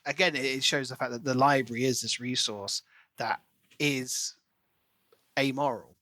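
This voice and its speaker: A man co-hosting a podcast, chatting casually and enthusiastically about a book in an expressive way. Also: medium pitch, moderate pace, dry recording. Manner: enthusiastic, expressive, acts out quoted lines. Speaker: podcast co-host.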